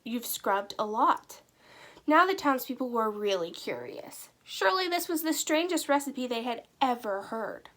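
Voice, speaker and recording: A woman narrating a children's storybook aloud with expressive, deliberate storytelling. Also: high-pitched. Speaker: teacher reading aloud.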